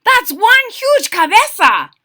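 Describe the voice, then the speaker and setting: A woman voicing an excitable boy kitten who thinks he's a Chihuahua, squealing and sing-song, with a put-on Spanish accent. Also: high voice, slow, voice pushed up, full character voice. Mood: exclaiming.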